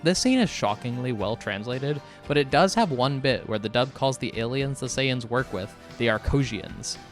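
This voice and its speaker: A man's voice: medium pitch, animated, moderate pace. Man narrating a scripted video over music. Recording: music behind the voice. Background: background music.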